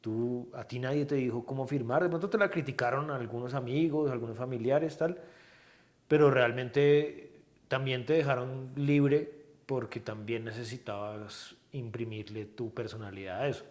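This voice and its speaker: A man, a guest artist talking thoughtfully in a casual interview, often pausing and restarting as he searches for words. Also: medium pitch, darkish tone. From Colombia.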